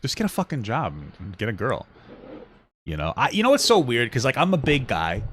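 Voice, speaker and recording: A man co-hosting a podcast, talking in casual, joking banter with a smooth voice and lots of fillers. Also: medium pitch, a little fast, slight background noise. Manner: animated, joking, rambling with many fillers. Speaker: podcast co-host.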